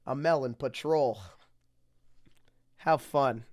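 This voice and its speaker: A person reading picture books aloud in a theatrical way, dropping into muttered deadpan asides. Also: mid-range voice, slow pace. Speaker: storybook reader.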